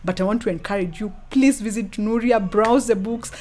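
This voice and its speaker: A woman's voice: medium pitch, normal speed, mellow, slight background noise. A woman with a Kenyan accent talking conversationally as an interview guest, in an earnest, encouraging manner. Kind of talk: conversational talk, mixing Swahili and English.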